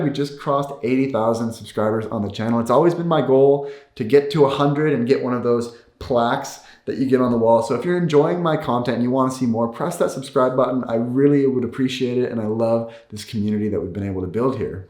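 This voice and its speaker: A man's voice: medium pitch, fairly quick, darkish tone. A man, a native English speaker, talks casually and explains things to viewers, repeating key words for emphasis. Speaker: video creator and host. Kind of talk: casual explanatory talk to camera. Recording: echoey room.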